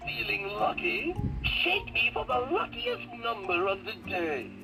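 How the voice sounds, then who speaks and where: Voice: mid-range voice, wildly swooping pitch, a little slow. An actor voicing a cheery ghostly butler fortune-telling toy in a showy, theatrical way, heard through a toy speaker. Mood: showy, cheerful. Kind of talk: scripted talking-toy lines. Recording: toy speaker playback, music behind.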